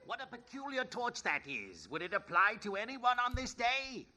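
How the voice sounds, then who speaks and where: A man playing a timid, humbled gentleman in a theatrical, earnest 1930s-style radio play. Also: very high voice, very animated, normal speed, heavily acted. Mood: humbled, curious.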